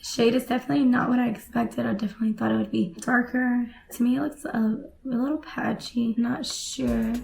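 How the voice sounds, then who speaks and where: Young woman, raspy and hoarse from a lost voice, with a fairly high, heavily gravelly tone. Relaxed makeup-review chatter. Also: brisk, few pauses, soft background music, rough recording. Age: young adult. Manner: relaxed, chatty product commentary while applying makeup. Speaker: beauty vlogger. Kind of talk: casual makeup tutorial chat. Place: small home room, indoors.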